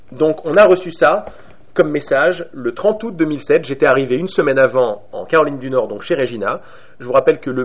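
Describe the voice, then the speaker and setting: Hesitant Parisian man giving a spiritual talk. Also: a little high. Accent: Parisian French. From Paris, France. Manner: hesitant, many restarts and fillers, with light laughs. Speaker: spiritual speaker. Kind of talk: informal spiritual talk to a group.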